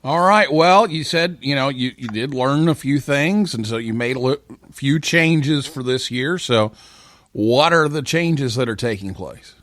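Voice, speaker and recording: A man's voice: medium pitch, a little slow, a bit bright, no room sound. Man hosting, dry close sound. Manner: informal, prompting guests with questions. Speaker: talk show host. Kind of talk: casual live interview.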